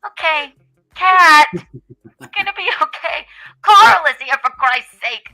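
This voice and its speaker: A woman putting on a shrill singsong cooing voice. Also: expressive, slow pace, soft background music, exaggerated voice, low-quality recording. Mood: cooing mock. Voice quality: high, loud and singsong. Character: shrill, sing-song adult cooing at kids and a cat. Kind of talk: mock voice on a livestream.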